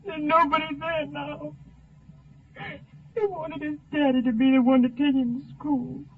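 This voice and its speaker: A grieving mother, very high in pitch and close to tears. She is dazed and heartbroken, in the manner of 1950s radio drama. The recording is tinny and old. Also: steady tone, measured pace. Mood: grief-stricken. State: tearful, crying.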